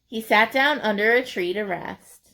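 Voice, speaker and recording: A woman storyteller reading a children's picture book aloud expressively, deliberate and emphatic for young listeners. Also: mid-range voice, vocal fry, bright, forceful tone.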